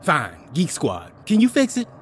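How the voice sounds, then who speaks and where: A man's voice: fairly high pitch, wildly swooping pitch, measured pace, slight background noise. An actor playing a brash, blunt teenage boy who mocks his classmates in an audio drama. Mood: mocking. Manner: blunt, mocking.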